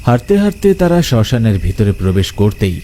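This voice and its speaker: A man's voice: fairly low pitch, sing-song, a little fast, noisy background. A man narrating a scripted horror story as storyteller, with night crickets behind him.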